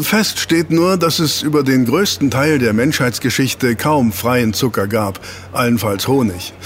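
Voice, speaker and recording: A man's voice: mid-range voice, natural voice. A man playing an eager researcher in a German audio drama, explaining to his team and teasing out reveals with rhetorical questions.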